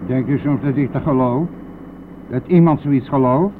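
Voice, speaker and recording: An actor playing a brazen, evasive bus driver in a radio play, blustering and dismissive, then wheedling, with a gravelly voice. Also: male voice, mid-range voice, normal speed, natural voice. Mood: defensive. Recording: noisy phone-like old radio play recording.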